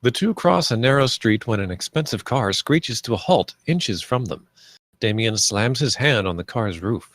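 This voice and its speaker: A man's voice: mid-range voice, expressive, moderate pace, a bit bright, no echo. A man reading stage directions aloud as scripted narration.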